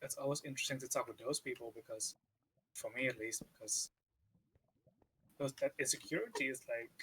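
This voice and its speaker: A man whose first language is Vietnamese, chatting casually as a podcast co-host and telling stories in an animated way. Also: medium pitch, fairly quick, slight background noise, rough recording. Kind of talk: casual chat.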